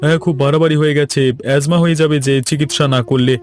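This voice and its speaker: A man's voice: a little high, steady tone, quick pace, a little mellow, lightly acted. A man voicing a cautioning, matter-of-fact village doctor in a cartoon. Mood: urgent, instructive. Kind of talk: cartoon voice acting.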